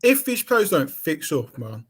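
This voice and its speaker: A man, hosting a casual fan reaction chat, animated and jokey with stumbling restarts. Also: on the high side, a little slow, breathy edge. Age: 30s. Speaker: channel host.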